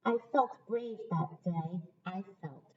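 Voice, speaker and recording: A woman reading a storybook aloud to children like a teacher, pausing to ask listeners questions. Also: lowish voice, breathy edge. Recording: dull, lo-fi sound.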